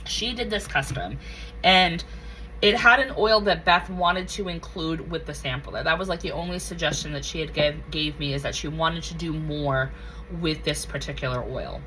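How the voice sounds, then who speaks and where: A woman with a slightly low, bright voice reviews scents in a casual vlog. She is getting over a cold and gushes over everything, repeating her favorite words. A faint hiss sits behind her. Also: moderate pace, poor audio. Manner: gushing, enthusiastic, repeats phrases. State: recovering from a cold, with a cough. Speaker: wax reviewer.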